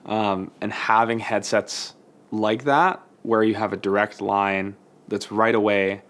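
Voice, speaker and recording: A man's voice: on the low side, fairly even intonation, slow pace, fairly bright tone. A man who works as a focus puller, talking casually in an interview.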